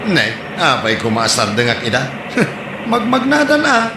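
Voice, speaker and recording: A man's voice: mid-range voice, huge pitch swings, measured pace, background noise. A man playing a cocky, stingy jeepney driver in an Ilocano comedy audio drama, exclaiming in an exaggerated way with an Ilocano accent. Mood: gleeful mischief. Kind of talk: comic audio drama acting. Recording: roomy echo, music behind.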